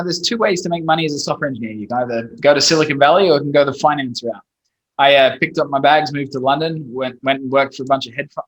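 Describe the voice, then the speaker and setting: Blunt Australian man, brisk and punchy. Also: a little high, rough recording. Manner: blunt, punchy, swears casually. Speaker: guest, SEO expert. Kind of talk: casual interview chat.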